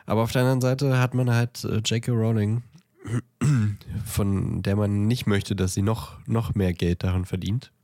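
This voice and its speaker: Low-voiced man, close and dry. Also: steady tone, a little slow, dark tone, no echo. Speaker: podcast co-host. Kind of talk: casual podcast discussion.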